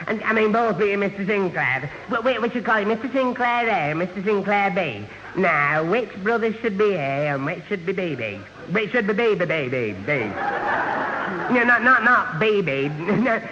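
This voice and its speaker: A man's voice: sits high, lively intonation, normal speed. A man playing a bumbling young man in a radio sitcom, stuttering and repeating himself in confusion. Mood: flustered, muddled. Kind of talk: 1970 radio sitcom acting. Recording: muffled old radio recording, hall reverb, hiss.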